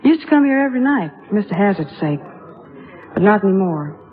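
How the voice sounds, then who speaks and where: An actress playing a loyal, protective young jazz singer in a 1950s radio drama, straightforward and understated. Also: female voice, medium pitch, big pitch swings, fast talker, close to an everyday voice. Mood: resigned. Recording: tinny band-limited 1950s radio recording.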